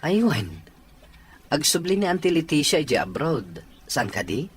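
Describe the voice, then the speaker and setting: An actor with an Ilocano Filipino accent playing an attentive, caring young husband in a radio drama. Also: male voice, on the high side, sing-song, normal speed, slight background noise. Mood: friendly. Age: young adult.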